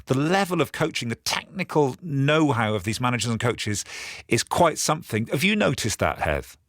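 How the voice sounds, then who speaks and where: A middle-aged man presenting a radio phone-in from a studio, talking conversationally with halting restarts. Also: mid-range voice, very animated, a bit bright, no room sound. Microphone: studio microphone.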